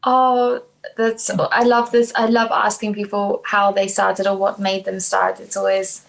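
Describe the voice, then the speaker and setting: A woman's voice: mid-range voice, little pitch movement, measured pace, creaky, low-quality recording. A young woman from South Africa, a dancer being interviewed, talking casually with many hesitations and restarts. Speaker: podcast guest, dancer.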